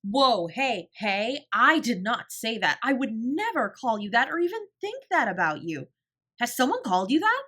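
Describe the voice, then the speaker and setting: A woman playing a protective, tender older sibling in an intimate audio roleplay, with a soft, breathy, reassuring delivery. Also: on the high side, big pitch swings, normal speed, exaggerated voice. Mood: alarmed, protective. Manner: gentle, reassuring, lightly teasing.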